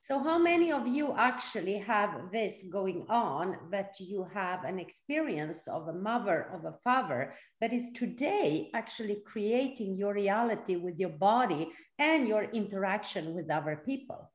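Seminar facilitator, woman, middle-aged, speaking in short pieces with pauses; medium pitch, roomy video-call sound. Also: lively intonation. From born in Serbia, grew up in Sweden. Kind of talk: conversational teaching talk for live interpretation. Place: indoor room, video call. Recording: echoey room.

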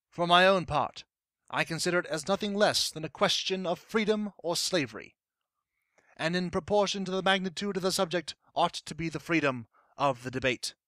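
Man acting forceful, impassioned statesman orator. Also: a little high, no echo, clean studio sound. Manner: declamatory, formal, emphatic rhetorical delivery. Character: impassioned colonial-era American statesman orating to an assembly. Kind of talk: dramatic monologue oratory.

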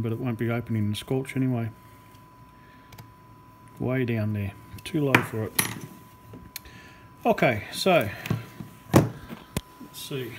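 A man giving a hands-on repair demonstration, explaining each step as he works. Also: medium pitch. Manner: explanatory, talking while working. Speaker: radio repair technician presenter. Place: indoor electronics repair workbench. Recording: noisy workshop recording with clicks. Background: workshop noise, clicks, test tones.

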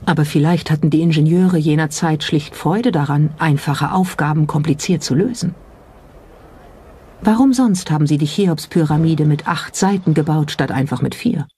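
A documentary narrator reading a script in a measured, explanatory way and posing rhetorical questions. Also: medium pitch, mellow. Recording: music behind the voice. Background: clearly audible background music.

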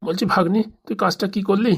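A woman acts out a hapless older village man in a cartoon comedy, a henpecked husband. The speech is extremely quick, pleading and complaining. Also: medium pitch, steady tone, vocal fry, husky. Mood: questioning. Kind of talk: comic cartoon dialogue.

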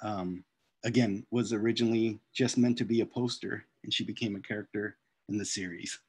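A middle-aged man, an author and illustrator, casually presenting his artwork on a video call with an unhurried, halting delivery. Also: on the low side, steady tone, a little mellow, breathy edge, no echo. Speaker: children's book author and illustrator. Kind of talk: informal slide presentation of artwork.

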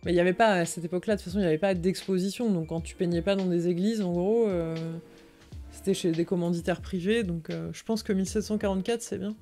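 A woman's voice: on the low side, steady tone, fast, dark tone. A woman co-hosting a livestream, translating and commenting on an article as she reads it. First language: French. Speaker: livestream co-host. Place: indoor room, livestream. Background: music.

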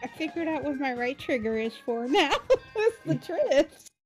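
A high-voiced woman around thirty giggles and apologizes as she works out the controls of a racing game with friends. Her pitch leaps about, and loud game music plays behind her. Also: very animated, moderate pace, low-quality recording. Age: 30s. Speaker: co-player. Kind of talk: casual gaming chat.

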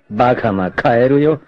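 An actor playing a carefree, roguish young martial artist and womanizer in a dramatic anime, with a cocky drawl and rough masculine speech. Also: medium pitch. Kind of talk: dramatic 1980s anime voice acting. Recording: dull lo-fi old TV audio.

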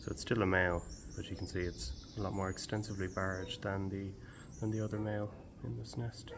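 Young Irish man, low register, calm field-guide delivery, shed ambience audible. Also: a little fast, background noise. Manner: calm, explanatory. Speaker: barn owl ringer. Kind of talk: explaining fieldwork on camera. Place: open farm shed outdoors.

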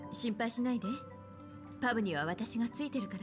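Gentle, highish-voiced anime lady, woman acting. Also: brisk, over music, lightly acted. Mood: soothing. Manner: soothing, polite. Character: poised, caring adult woman, a gentle leader.

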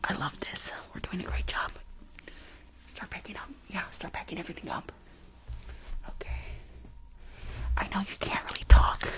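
A shady, impatient female dentist, acted out by a woman speaks in breathy whispers for an ASMR roleplay. She mutters bossy orders and repeats herself in a hurry, gleeful about her crooked work. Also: lowish voice, moderate pace, long pauses, natural voice. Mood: falsely reassuring. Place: small indoor room, livestream setup.

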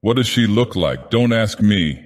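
Guy doing a gruff boozy sea captain from a story, spooked and grumbly, emphatic, big echoey hall sound. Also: on the low side, animated, a little slow, pitched above the performer's own voice. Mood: grumbling, uneasy. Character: gruff, drunken, fearful schooner captain, resentful and superstitious.

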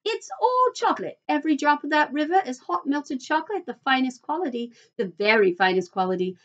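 A woman's voice: fairly high pitch, expressive, moderate pace, muffled recording. A woman voicing an exuberant, boastful male factory owner from a children's storybook, repeating phrases for emphasis. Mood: excited, boastful.

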